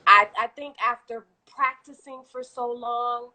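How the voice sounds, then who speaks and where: A woman with a Haitian accent talks at length over a video call, with a bright, slightly high voice. She is a chatty, animated guest who laughs easily and keeps the conversation flowing. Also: a little slow, poor audio. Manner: talkative, animated, laughs often. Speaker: guest entrepreneur. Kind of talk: casual live-stream chat.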